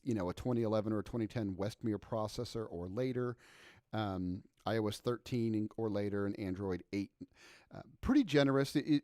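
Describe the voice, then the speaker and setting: A man giving a casual software walkthrough as a product trainer, with lots of ums and self-corrections. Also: medium pitch, no room sound, studio quality. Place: voice-over on a screen recording.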